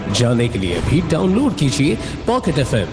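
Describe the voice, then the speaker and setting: A man's voice: medium pitch, huge pitch swings, moderate pace. A man narrating an audio story in a dramatic scripted style. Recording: reverberant hall echo, loud music underneath.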